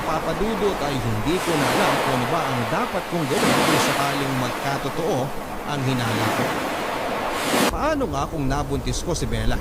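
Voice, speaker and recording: An actor narrating a letter as a worried, guilty married man, confessing his fears over loud background music. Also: mid-range voice, heavy background noise, natural voice. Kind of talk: dramatized letter reading.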